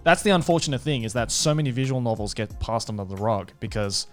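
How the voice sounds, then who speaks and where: Male podcast co-host, lively swooping delivery, opinionated and sweary, casual talk over music. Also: medium pitch, fast talker. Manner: opinionated, emphatic, swears freely. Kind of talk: casual chat.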